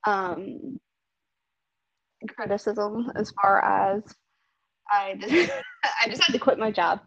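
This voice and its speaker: A woman's voice: mid-range voice, expressive, takes it slowly, vocal fry, crowd noise. A woman giving casual interview answers, hesitant and self-deprecating. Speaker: interview guest, body-paint content creator. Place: remote phone call. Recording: dull, lo-fi phone call audio.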